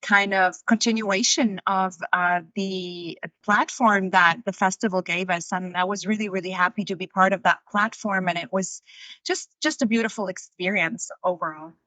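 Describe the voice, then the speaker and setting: A woman's voice: mid-range voice, fairly even intonation, normal speed, pushed and bright, no room sound, low-quality recording. A woman in her thirties from Switzerland, a documentary filmmaker talking earnestly and repeating words as she thinks. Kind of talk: casual interview chat.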